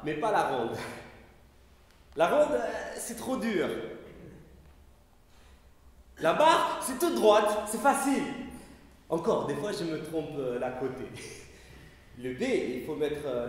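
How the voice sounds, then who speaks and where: A man with a high voice recites poetry at a slow, deliberate pace, his delivery rising and falling dramatically from line to line. He performs live on a festival stage. The room is heavily reverberant, with long echoes trailing each phrase. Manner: slow, measured recitation with wide swings in pitch and loudness. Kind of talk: live sound poetry reading.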